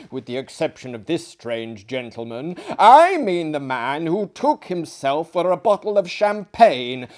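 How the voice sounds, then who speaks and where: A man's voice: high-pitched, darkish tone, pitched above the performer's own voice. A man voicing a boisterous, declamatory dinner guest in a storybook, gossiping about eccentrics. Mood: scornful glee.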